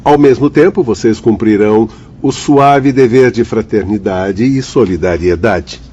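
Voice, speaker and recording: An actor playing a calm, learned, paternal spirit doctor in a radio drama, speaking in a measured, formal, didactic way. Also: male voice, on the low side, dull audio. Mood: solemn, instructive.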